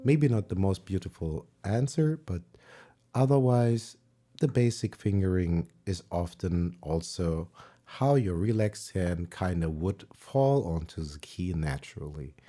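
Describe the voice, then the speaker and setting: A man whose first language is German, teaching piano on a livestream, relaxed and pausing to search for words. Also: low voice. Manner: relaxed, searching for words, sings and counts along. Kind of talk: livestream music lesson. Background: piano playing.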